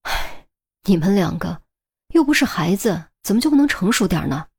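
A woman's voice: mid-range voice, sing-song, moderate pace, creaky, darkish tone, breathy edge, exaggerated voice. A woman voicing a firm senior policewoman in an audiobook, with an authoritative, no-nonsense manner. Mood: exasperated. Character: firm, brisk senior policewoman, adult, exasperated mentor.

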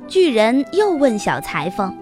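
A woman narrating a scripted fairy tale for children as the host, with expressive, deliberate storytelling. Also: fairly high pitch, over loud music.

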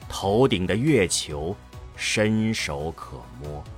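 A man's voice: low voice, expressive, slow pace, soft background music. A man narrating an audio drama from a script in a grave, dramatic manner.

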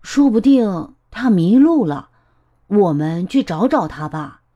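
A woman voicing a friendly, patient, playful storybook elephant with an expressive, teasing manner. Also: mid-range voice, slow, highs cut off. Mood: apologetic, helpful.

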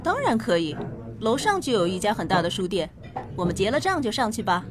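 A woman's voice: mid-range voice, big pitch swings, a little fast, heavy background noise, close to an everyday voice. A woman playing a caring, practical mother in a radio drama. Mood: kindly.

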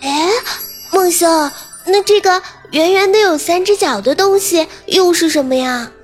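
A very high, girlish voice for a young carp-spirit maiden played by a woman in an audio drama. She is innocent, playful and full of curiosity, with light music behind her. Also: unhurried. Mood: delighted, inquisitive. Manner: playful, naively inquisitive. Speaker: voice actress.

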